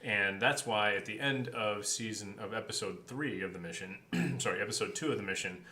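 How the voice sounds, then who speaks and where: A man's voice: fairly low pitch, fairly quick, bright, forceful tone. A man in a casual behind-the-scenes chat as a podcast co-host. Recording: roomy echo, clear background noise.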